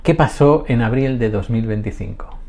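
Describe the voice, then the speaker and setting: A man hosting and interviewing in a clear presenter manner. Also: mid-range voice, moderate pace, warm tone. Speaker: interviewer, host. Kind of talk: scripted intro, then interview questions. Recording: faint music behind.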